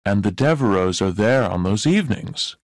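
A man playing a curious young amateur sleuth in clearly spoken storybook dialogue. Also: on the low side, lively intonation, moderate pace, no echo, clean studio sound. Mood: matter-of-fact. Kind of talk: scripted audiobook dialogue.

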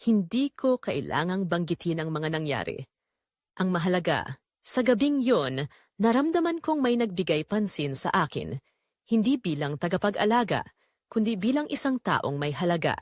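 A woman's voice: fairly low pitch, big pitch swings, moderate pace, no room sound, clean studio sound. A woman with a Filipino accent playing a tender, long-neglected middle-aged wife and mother, narrating her own romantic audio drama in an introspective, confessional way. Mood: reflective, moved.

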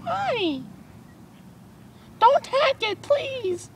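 A man voicing a naive, cheeky nine-year-old girl on a prank phone call, halting and childish. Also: very high voice, very animated, a bit bright, heavily acted. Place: outdoors at night. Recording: faint outdoor background noise.

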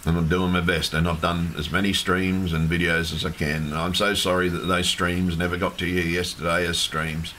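A low-voiced man delivers an agitated, repetitive rant, swearing now and then. His voice is hoarse and fading. A faint hum of home ambience sits behind him. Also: level tone, normal speed, few pauses, pushed and bright. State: losing his voice. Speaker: livestreamer. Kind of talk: ranting livestream monologue. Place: home room with fish tank. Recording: faint background hum from fish tank.

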